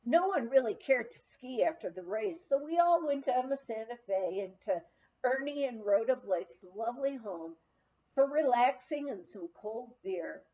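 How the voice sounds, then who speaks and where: A woman reading a humorous first-person memoir aloud as an audiobook, with animated, expressive storytelling. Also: a little high, normal speed, lo-fi sound. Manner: animated storytelling, acting out quoted dialogue. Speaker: audiobook reader.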